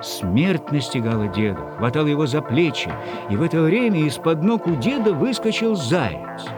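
A man reading a literary story as an audiobook narrator, with a husky voice. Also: fairly high pitch, very animated, normal speed, over music.

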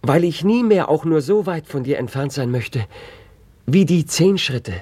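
Radio play suitor: an ardent young gentleman, lively and animated, slightly high voice. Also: unhurried, slightly rough. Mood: tender, pleading. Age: young adult.

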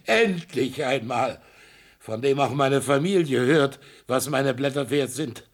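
An actor playing a proud, stubborn old art collector, speaking with fervent, boastful enthusiasm in a radio drama. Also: male voice, medium pitch, slow pace. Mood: impassioned. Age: older.

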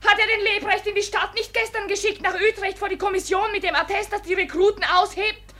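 An actress in a 1950s radio play playing an earnest young village maiden, pleading and proudly indignant, with a breathy voice. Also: female voice, extremely high pitch, steady tone, brisk, bright, forceful tone. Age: young adult.

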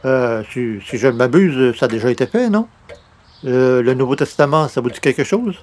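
A man's voice: medium pitch, animated, moderate pace, a little mellow, slight background noise, close to an everyday voice. A man playing a grandiose, easily exasperated would-be novelist in theatrical old-time radio comedy style. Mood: musing. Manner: theatrical, pompous, emphatic. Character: grandiose, exasperated male newspaper columnist turned would-be novelist. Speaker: lead character of the comedy series.

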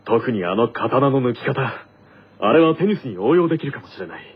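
A man's voice: medium pitch, animated, brisk, husky, muffled recording, voice pushed down. A man acting as an earnest, stern teenage school tennis captain in a radio drama skit, speaking in commanding declarations. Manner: declarative, commanding, self-serious.

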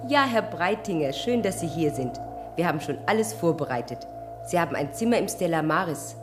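Casting: woman as friendly, slightly flirty spaceport receptionist, fairly low voice, chatty, vintage radio play, soft music behind. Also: animated, fairly quick, natural voice. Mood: welcoming. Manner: chatty, welcoming. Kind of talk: 1970s German radio play acting.

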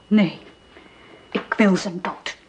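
A tense young woman bent on revenge, voiced by an actress, with a hesitant, hushed delivery. A faint hiss sits under the voice. It comes from an old radio play. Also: medium pitch, fairly even intonation, takes it slowly, close to an everyday voice. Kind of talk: radio drama acting.

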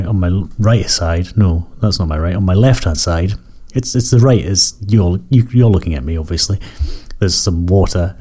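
Croaky low-voiced Scottish man. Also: mellow. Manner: rambling, self-correcting, apologetic. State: croaky from a cold and cough. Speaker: podcast host. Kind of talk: casual radio-style chat between tracks.

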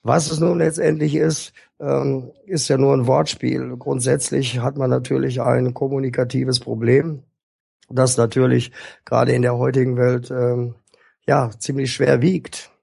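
A man speaking as a guest speech therapist, explaining things in a calm, instructive and measured way. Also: mid-range voice, creaky, slightly rough, no room sound, studio quality. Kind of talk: explanatory interview talk.

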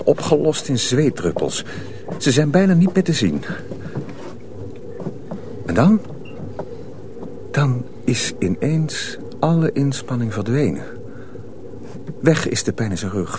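A man's voice: mid-range voice, noisy background. A man narrating a radio drama as a storyteller, reading scripted prose dramatically.

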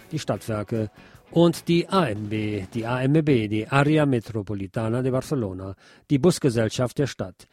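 Man, radio presenter, scripted news read, medium pitch, faint guitar music behind. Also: a little mellow.

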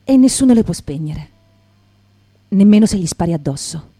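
A woman acting in poetic radio theatre as a quiet, dreamy companion with simple, lyrical phrasing. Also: medium pitch, animated, moderate pace, dry recording, close to an everyday voice.

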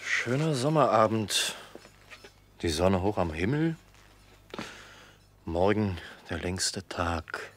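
A man's voice: low-pitched, expressive, takes it slowly, long pauses, a bit bright, exaggerated voice. An actor playing a breezy, self-satisfied male travelling salesman in a radio drama, speaking in casual, clipped phrases. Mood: idle musing. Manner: casual, clipped musing to himself. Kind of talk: German radio drama acting.

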